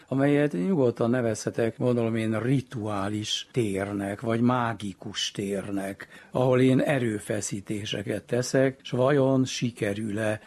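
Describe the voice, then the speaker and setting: A man's voice: medium pitch, darkish tone. A man speaking reflectively in an interview, musing and piling up alternatives as he explains.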